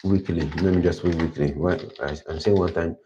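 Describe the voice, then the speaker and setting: A man with a very low, deep voice and a mellow, dark tone thinks out loud on a livestream. He rambles and keeps restating himself. The audio is dull and lo-fi, as from a livestream. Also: steady tone, fairly quick, a little hiss or hum. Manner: rambling, self-correcting, plans out loud. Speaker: livestream host. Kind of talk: casual thinking aloud. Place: quiet indoor room.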